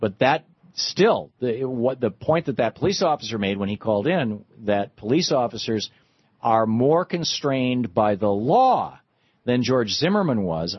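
A man's voice: mid-range voice, husky, no echo. A man hosting a call-in radio talk show, agreeing with callers and thinking aloud with restarts. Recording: muffled radio broadcast.

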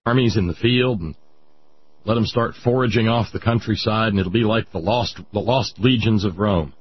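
A man hosting a talk show, giving sarcastic, rambling political commentary in a husky voice. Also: lowish voice, moderate pace, dull audio, slight background noise. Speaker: radio show host.

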